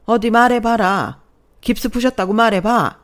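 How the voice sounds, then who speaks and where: A woman's voice: fairly high pitch, moderate pace, voice pushed up, natural voice. A woman doing a furious older mother-in-law. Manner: stern, scolding.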